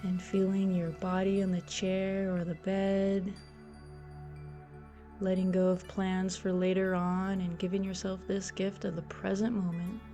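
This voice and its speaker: A woman leading a guided meditation in a soothing, gentle, unhurried and encouraging way. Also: medium pitch, flat delivery. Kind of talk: guided meditation, soft instructional narration. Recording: soft music behind the voice. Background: meditation music.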